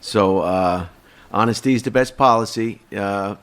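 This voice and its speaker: A man's voice: fairly low pitch, unhurried, fairly bright tone. A man from Long Island hosting a casual podcast chat, rambling and often stumbling over his words. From Long Island, New York. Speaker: podcast host.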